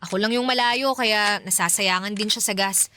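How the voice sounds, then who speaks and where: An actress playing a young woman musician in a radio drama, speaking in a casual, expressive, naturalistic way over music. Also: medium pitch, moderate pace, slight background noise, natural voice. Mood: friendly, casual. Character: young woman band musician, friendly, easily frightened. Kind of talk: radio drama dialogue in conversational Filipino.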